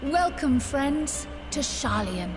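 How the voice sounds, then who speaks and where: Spirited scholar for a game cutscene: articulate, polite, very expressive intonation, higher register. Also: female voice, slow pace, over music, exaggerated voice. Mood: friendly, playful. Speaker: voice actor in a video game cutscene. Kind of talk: scripted game dialogue.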